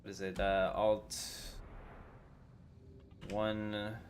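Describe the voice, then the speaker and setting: A man with a fairly low voice does casual livestream commentary over a game. He thinks aloud in an informal way as he plays. Faint noise and keyboard typing sit behind him. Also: completely flat delivery, drawn-out words. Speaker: livestreamer, game player and channel host.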